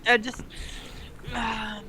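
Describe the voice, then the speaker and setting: Higher-voiced woman, mock-earnest comedic reader. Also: flat delivery, sharp tone. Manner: mock-earnest, halting, slangy asides. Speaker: co-host reading fan fiction aloud. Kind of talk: comedic dramatic reading with joking commentary. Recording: uneven volume levels.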